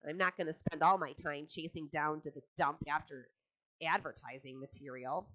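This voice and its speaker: A woman's voice: deep voice, steady tone, moderate pace, dry recording, natural voice. A woman voicing a grumpy, sulky male barn rat who grumbles sarcastically. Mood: grumbling. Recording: dull lo-fi audiobook recording.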